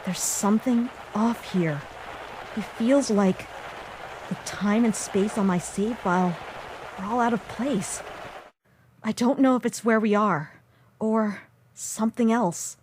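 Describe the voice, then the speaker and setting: A woman's voice: medium pitch, slow pace, pauses often, lightly acted. A woman voicing a determined young child hero who speaks earnestly in a fan comic dub. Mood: uneasy. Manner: earnest and resolute. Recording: long hall reverb, clear background noise.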